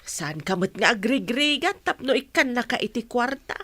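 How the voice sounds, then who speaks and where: A woman's voice: a little high, lively intonation, normal speed, a bit bright, breathy edge, no echo. A woman with a Filipino accent acting a protective, defensive adoptive mother in a radio drama. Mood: reproving.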